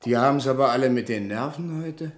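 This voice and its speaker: A man's voice: medium pitch, brisk, lightly acted. Man playing a flustered, dutiful doorman. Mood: sullen. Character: flustered, dutiful male bank doorman, easily put out. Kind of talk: German radio crime comedy acting.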